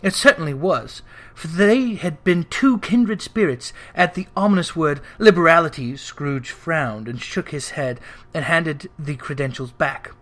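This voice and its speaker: A narrator giving an expressive, scripted reading of a classic storybook. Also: mid-range voice, unhurried.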